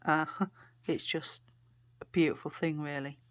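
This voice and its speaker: A British woman vlogging casually to camera, chatty and rambling, her voice a bit croaky. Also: low voice, steady tone, a little slow. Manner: chatty, rambling with many fillers and restarts.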